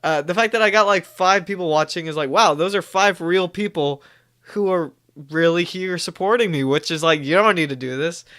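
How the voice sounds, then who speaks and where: A young man, a little congested from a cold, talks to viewers on a live stream. His voice is bright and smooth and sits a bit high, and he rambles, stopping often to correct himself. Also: moderate pace. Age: young adult. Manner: rambling, self-correcting, upbeat. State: stuffy from a cold, coughing. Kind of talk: casual live-stream chat.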